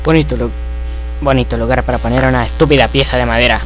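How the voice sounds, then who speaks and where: A man's voice: mid-range voice, steady tone, quick pace, a little hiss or hum, pitched below the performer's own voice, lightly acted, rough recording. A man voicing a goofy, clueless, foul-mouthed young hero in a melodramatic cartoon parody fandub. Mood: panicky, dramatic. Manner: melodramatic, singing nonsense syllables to himself.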